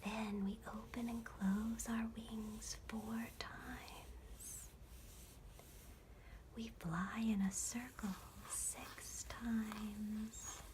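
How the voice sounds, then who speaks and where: A woman voicing contented, routine-bound butterflies in a measured whisper for an ASMR bedtime storybook. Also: a little high, level tone, noisy background, pitched above the performer's own voice. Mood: placid, content. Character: contented, set-in-their-ways butterflies, gentle and routine-bound.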